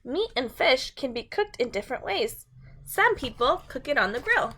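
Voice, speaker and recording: A woman's voice: high-pitched, sing-song, normal speed, bright, forceful tone, slight background noise. A woman reading a picture book aloud to young children and chatting to them in simple, encouraging language.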